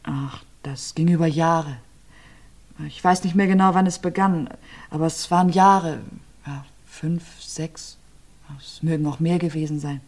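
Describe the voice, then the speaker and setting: An actress playing an abused widow being questioned about her husband's death, with hesitant, halting answers that often sink to a near whisper. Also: steady tone, a little mellow. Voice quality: low, subdued, often drops to a near whisper. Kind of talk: radio crime play.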